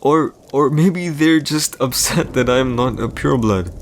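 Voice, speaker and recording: A man's voice: on the low side, a little slow, gravelly, darkish tone, slight background noise. A man playing an arrogant, teasing villain emperor in an intimate audio roleplay, speaking in smug, theatrical, formal phrases. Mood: wounded, indignant. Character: arrogant villainous young male emperor, teasing and secretly wounded.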